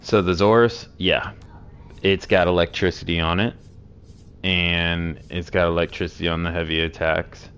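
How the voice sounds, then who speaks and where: A hoarse man with a sore throat casually walking through game builds, rambling with many fillers. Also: low-pitched, flat delivery, normal speed, fairly bright tone. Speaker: game streamer explaining builds.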